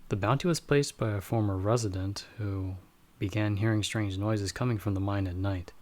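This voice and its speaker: A man playing a watchful bounty hunter in an audio roleplay, explaining things to his companion in a measured, slightly formal way. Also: fairly low pitch, steady tone, darkish tone, lightly acted. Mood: calm, watchful. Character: calm, watchful male bounty hunter with magical wards. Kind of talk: ASMR audio roleplay acting, speaking to the listener as companion.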